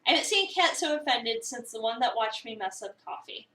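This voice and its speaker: A woman's voice: on the high side, expressive, brisk, sharp tone, far from the mic. A woman streamer expressively reading a visual novel aloud, acting out lines and chatting casually in between. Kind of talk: dramatic reading of a game script with casual chat asides. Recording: roomy echo, away from the mic.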